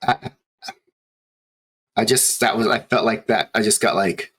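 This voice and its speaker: A man hosting and running a tabletop game stream, introducing players in an easygoing way with many pauses. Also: fairly low pitch, fairly bright tone, slightly rough. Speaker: game master and host.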